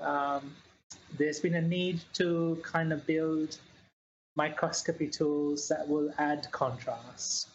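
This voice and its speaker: A woman's voice: low-pitched, highs cut off, a little hiss or hum. A woman from New Zealand, a guest scientist, explaining her work in a casual interview with many hesitant fillers.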